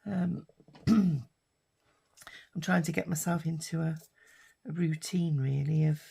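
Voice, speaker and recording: An older British woman with a husky, hoarse voice from a lingering cough, chatting casually while she crafts. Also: low voice, warm tone. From United Kingdom. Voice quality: husky, raspy. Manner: rambling and chatty, with many fillers. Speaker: craft vlogger.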